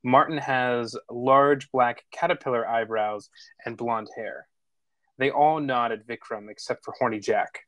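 A man narrates the action of a screenplay at a table read. His voice is medium in pitch, and the sound is very dry, with no room to it. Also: fairly even intonation, a little slow, fairly bright tone, dry recording.

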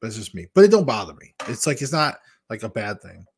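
A man's voice: on the low side, big pitch swings, a little fast, a little hiss or hum. A man in his fifties from Michigan, hosting a casual live chat with his viewers from a small room at home and speaking into a desk microphone. He talks candidly and rambles from one story to the next. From Michigan, USA. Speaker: weight-loss vlogger hosting a live chat. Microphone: large desk microphone in front of a webcam.

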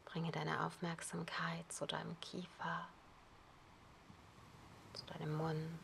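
A woman yoga teacher softly whispering a guided relaxation, calm and unhurried. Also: low-pitched, vocal fry, slight background noise. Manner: soft whispered, slow and calm. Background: ocean waves.

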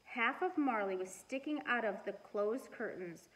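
A middle-aged woman reading a storybook aloud and chatting to children in an expressive, deliberate way. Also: on the high side, poor audio. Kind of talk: storybook read-aloud and casual chat to children. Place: living room at home.

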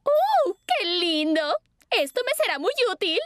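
A woman with a Latin American Spanish accent playing a sweet, excitable mother dog in a children's cartoon, affectionate and full of exclamations. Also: very high-pitched, a little slow, no room sound, studio quality, exaggerated voice. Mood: delighted, affectionate. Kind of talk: dubbed children's cartoon acting.

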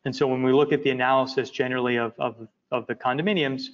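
A man walking through presentation slides for an investment project, talking in a halting way with many fillers and repeated words. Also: mid-range voice, fairly even intonation. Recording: muffled lo-fi audio.

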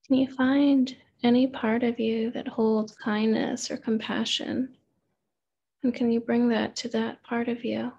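A woman therapist leading a guided meditation in a gentle, unhurried manner, with pauses and open questions. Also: on the high side, level tone, gravelly, breathy edge, dull audio.

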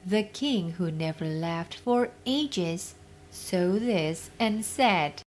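A woman narrating a children's fairytale as storyteller, expressive and deliberate, with faint noise. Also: lowish voice.

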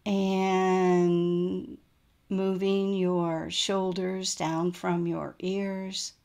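A woman guiding a relaxation meditation as a yoga nidra teacher, in a gentle, soothing manner with unhurried pauses. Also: lowish voice, level tone, vocal fry, smooth.

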